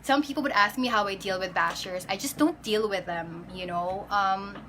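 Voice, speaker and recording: A woman's voice: mid-range voice, normal speed, pushed and bright, slight background noise. A young woman in her twenties with a Filipino accent, chatting casually on a livestream, greeting viewers by name and slipping into Tagalog. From Philippines. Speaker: pageant candidate.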